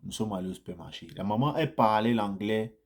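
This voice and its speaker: Male vlogger, lower register, conversational storyteller who repeats himself and warms into animated anecdotes. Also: fast talker. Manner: chatty, repeats phrases, grows animated in anecdotes. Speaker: vlogger sharing immigrant experience. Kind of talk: casual first-person storytelling to viewers.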